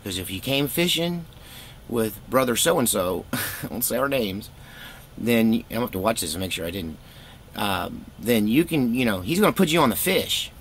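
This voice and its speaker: A man's voice: fairly low pitch, animated, normal speed, slight background noise. A middle-aged American man telling a personal story casually, in a candid, folksy, rambling way.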